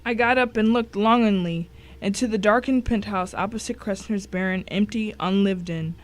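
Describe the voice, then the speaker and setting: A woman's voice: mid-range voice, moderate pace. A woman reading a scripted first-person thriller as narrator, telling it with drama and suspense. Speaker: story narrator.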